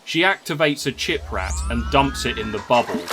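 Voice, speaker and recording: Animated male storyteller over clear music. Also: medium pitch, moderate pace. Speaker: narrator. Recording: music under the voice.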